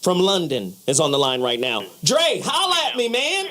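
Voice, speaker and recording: A man's voice: high-pitched, wildly swooping pitch, normal speed, sharp tone, slight background noise. Emphatic male talk show host. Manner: emphatic, lots of "mm-hmm" backchannel. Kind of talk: radio talk show chat.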